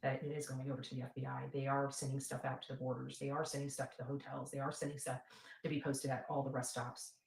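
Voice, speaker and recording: A woman's voice: rumbling low, little pitch movement, fast talker, vocal fry, a little mellow. A woman hosting a casual livestream for followers, rambling and repeating herself for emphasis. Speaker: livestream host and advocate. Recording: roomy, echoing livestream replayed.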